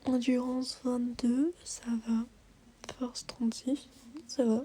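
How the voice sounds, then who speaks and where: A tired woman casually commenting on her game as she plays, often dropping into soft, half-whispered asides. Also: on the high side, little pitch movement, slow pace, a little hiss or hum. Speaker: gamer.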